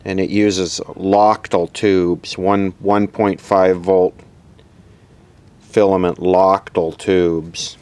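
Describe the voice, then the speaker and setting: A man narrating a casual, unscripted hands-on repair demonstration in a matter-of-fact, halting way, as host of his own video. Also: deep voice, little pitch movement, a little mellow. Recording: clearly audible background noise.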